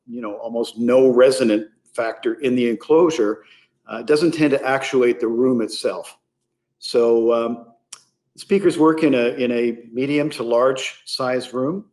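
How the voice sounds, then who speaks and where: Measured man explaining in an interview. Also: mid-range voice, darkish tone. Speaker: interview guest, loudspeaker company sales executive. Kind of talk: explanatory product talk in an interview.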